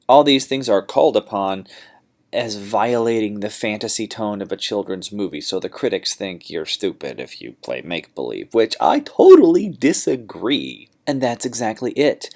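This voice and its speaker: Low-voiced, theatrical male teacher. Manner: informal, theatrical, slangy, emphatic. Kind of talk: classroom lesson on paraphrasing and essay writing.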